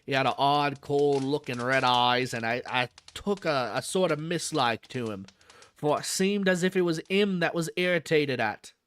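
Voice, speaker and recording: A man reading aloud and voicing a gruff old male zookeeper, grumbling in a rough dialect with dropped h's. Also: fairly high pitch, moderate pace, a bit bright, lightly acted.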